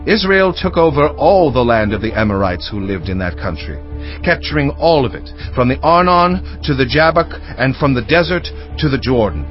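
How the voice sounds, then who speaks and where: A man's voice: mid-range voice, sing-song, normal speed, close to an everyday voice. A man playing a proud warrior commander in a dramatized audio Bible, assertive and emphatic in his rhetoric. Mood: firm argument. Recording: dull band-limited audio, faint music underneath.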